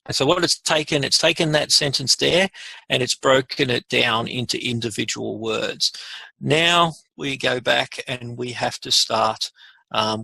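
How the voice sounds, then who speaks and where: Guy doing a casual software tutorial, raspy and crackly voice, really dry sound, mutters to himself. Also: medium pitch, dry recording. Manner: informal, muttering asides while working. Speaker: webinar presenter. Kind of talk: unscripted software tutorial narration.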